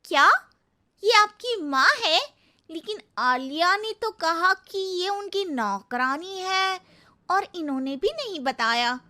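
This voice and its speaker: A woman voicing a warmhearted young city woman in a cartoon story, gushing and effusive. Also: very high voice, expressive, slow pace, pitched above the performer's own voice, heavily acted. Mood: surprised.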